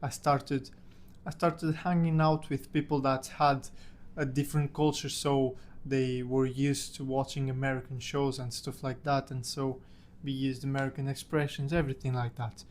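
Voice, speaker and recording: A man's voice: medium pitch, level tone, moderate pace, a little mellow, a little hiss or hum. A young man from Portugal, a native Portuguese speaker, casually telling a personal story about himself in English. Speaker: language-learning content creator.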